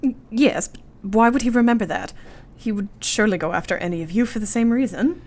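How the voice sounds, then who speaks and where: A woman's voice: a little high, a little fast, a little mellow, lightly acted. A woman acting an earnest, anxious young Victorian wife in a radio play, devoted and thoughtful, with formal, precise period phrasing. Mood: concerned.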